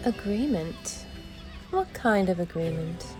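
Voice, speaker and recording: A woman voicing a calm, supportive adventurer in an amateur voice-over of fantasy game dialogue, in a plain manner. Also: lowish voice, takes it slowly. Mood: curious. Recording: loud game music behind.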